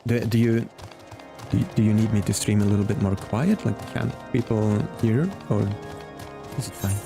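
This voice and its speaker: Young man, casual stream chat, relaxed, chatty, game music behind. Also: lowish voice, moderate pace, gravelly. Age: 20s. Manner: chatty, relaxed, chats with viewers while playing.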